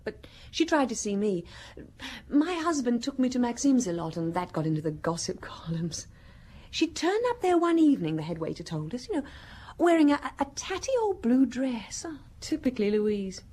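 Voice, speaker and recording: Radio play, spoiled young socialite, sharp-tongued, very expressive pitch, flippant, haughty. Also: female voice, moderate pace, husky. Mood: dismissive. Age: young adult. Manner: disdainful, theatrical, gossipy. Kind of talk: radio drama acting.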